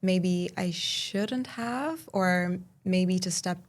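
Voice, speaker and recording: Low-voiced woman pausing thoughtfully. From Turkey and Cyprus, raised in Saudi Arabia. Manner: thoughtful, pauses often with "um". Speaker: podcast guest, spoken word poet. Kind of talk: casual conversational interview.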